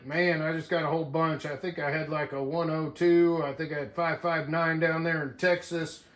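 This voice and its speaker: A man's voice: fairly high pitch, flat delivery, moderate pace, a bit bright. An American man chatting casually and in a folksy way as he hosts a hobby radio video. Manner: folksy, says "man" often. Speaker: CB radio operator and video host. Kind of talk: casual hobby vlog chat. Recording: roomy echo.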